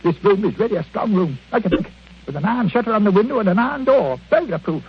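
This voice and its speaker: An actor playing a bitter, self-pitying elderly husband in a querulous, theatrical 1940s radio drama style. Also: male voice, sits high, lively intonation, fast, husky, full character voice. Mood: aggrieved. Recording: muffled, noisy vintage radio recording.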